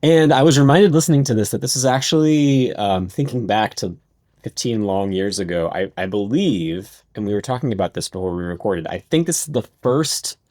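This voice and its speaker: A man's voice: fairly low pitch, a little mellow, no room sound, clean studio sound. A man chatting casually as a podcast co-host, often breaking off and restarting his sentences. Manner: halting, self-interrupting. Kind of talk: casual reminiscing chat.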